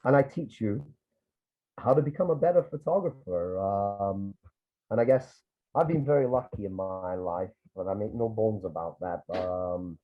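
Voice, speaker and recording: A man's voice: lowish voice, moderate pace, soft background music. A Scottish man from Edinburgh, a tour guide and photographer, chatting in a rambling way with many restarts and asides. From Scotland. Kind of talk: casual storytelling on a video call. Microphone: video call. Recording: tinny phone-like video call audio.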